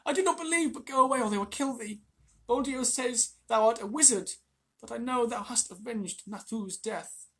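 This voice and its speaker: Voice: mid-range voice, moderate pace, vocal fry, a bit bright, slightly rough, voice pushed up. A storyteller voicing a distraught village mother who pleads anxiously with her son. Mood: pleading.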